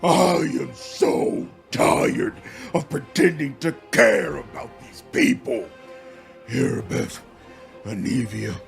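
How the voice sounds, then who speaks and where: Villain performed by a man: evil game commander, seething menace, hugely swinging pitch, faint music. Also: creaky, heavily acted. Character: evil swarm-minded commander, coldly murderous, feigning kindness. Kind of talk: video game character voice-over.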